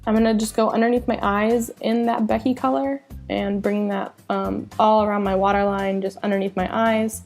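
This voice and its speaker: A young woman narrates her makeup routine in a chatty, upbeat way, her voice nasal from a cold. There's a gravelly edge to her tone, and faint music plays softly underneath. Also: mid-range voice, little pitch movement, moderate pace, hardly any pauses. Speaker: beauty vlogger. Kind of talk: makeup tutorial voice-over.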